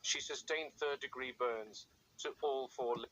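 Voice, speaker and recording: A man playing a grim, watchful game warden, narrating a found-footage field log in a measured, grave and deliberate way. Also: mid-range voice, fairly bright tone, lo-fi sound, natural voice. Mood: grim, clinical. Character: grim, watchful male game warden logging dangerous captive raptors. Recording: faint background noise.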